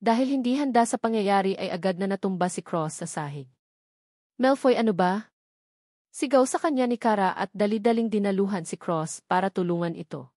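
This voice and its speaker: Woman reading out a romance story as narrator, average pitch, dry no echo. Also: lively intonation, fast talker, a little mellow. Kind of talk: scripted narration of a romance novel.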